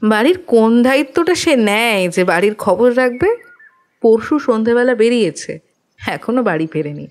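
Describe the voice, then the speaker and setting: A woman's voice: a little high, big pitch swings, moderate pace, a little mellow. A woman playing a cool, dismissive lady of the house who is easily annoyed, in an audio detective drama. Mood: indifferent, curt. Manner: indifferent, clipped, dismissive. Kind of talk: acted dialogue in Bengali audio detective drama.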